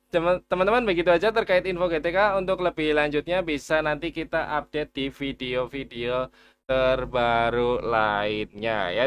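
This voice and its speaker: Smooth-voiced man explaining steps. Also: medium pitch, fairly bright tone. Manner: chatty, reassuring, addresses viewers as friends. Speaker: education YouTuber explaining teacher data portal. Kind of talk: casual screen-share tutorial.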